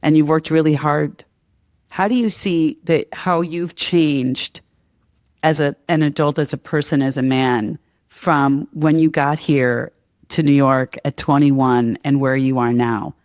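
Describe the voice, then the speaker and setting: A man's voice: on the high side, steady tone, measured pace, dry recording, studio quality. A middle-aged man hosting a podcast, interviewing in a casual, conversational way.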